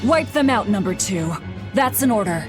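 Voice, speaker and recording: A woman's voice: mid-range voice, animated, normal speed, close to an everyday voice. A woman playing a cold, distrustful demon leader in a video game, with a terse, imperious delivery. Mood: commanding. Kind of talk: English video game dub acting. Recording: loud music behind voice.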